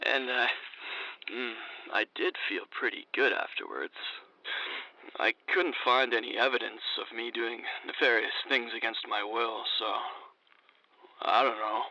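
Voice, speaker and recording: An actor playing a young, goofy stoner bro phoning a radio show in a comedy audio drama, slangy and gushing. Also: male voice, medium pitch, measured pace, pushed and bright, highs cut off. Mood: sheepish, content. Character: gushing, laid-back young male stoner-bro caller.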